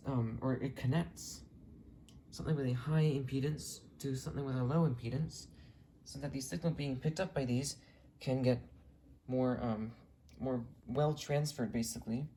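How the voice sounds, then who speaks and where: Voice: medium pitch, mellow, a little hiss or hum. A hobbyist narrating a casual show-and-tell video, explaining things in a detailed, matter-of-fact way.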